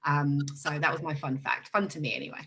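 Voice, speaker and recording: A man with a British accent and a bright, forceful voice introduces himself on a video call panel. He talks in a lively, quick way. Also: a little high. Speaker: business development manager and panelist. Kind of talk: online panel discussion.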